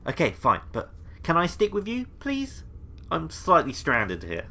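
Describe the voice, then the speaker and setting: A man voices an earnest, anxious young intern in a comic audio drama. He is hesitant and apologetic, tripping over words in a bright, tense voice with lively, wide swings of pitch. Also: unhurried, exaggerated voice. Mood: apologetic, pleading. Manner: hesitant, flustered, stammering. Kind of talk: comedic audio drama acting.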